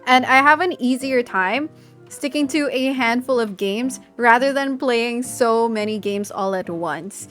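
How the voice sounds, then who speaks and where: Young filipina gamer girl, chatty and upbeat, kinda high voice, soft music behind. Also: unhurried. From Philippines. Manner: chatty, enthusiastic, reading questions aloud. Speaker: gaming YouTuber. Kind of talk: casual Q&A voice-over.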